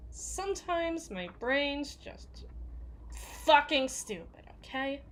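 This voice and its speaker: A woman's voice: a little high, a little hiss or hum. A woman playing a tough, foul-mouthed, guarded bodyguard for a villain in an ASMR roleplay, with a sardonic, slangy delivery. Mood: bitter, defensive. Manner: sardonic, swearing, casual slangy drawl. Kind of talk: ASMR roleplay, acted monologue.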